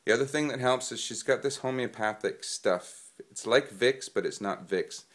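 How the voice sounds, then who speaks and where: A man's voice: mid-range voice, moderate pace, a bit bright. A man with a head cold, stuffed up, giving casual singing tips and rambling with plenty of ums. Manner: rambling with many fillers. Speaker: singing vlogger. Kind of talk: casual spoken tips to camera.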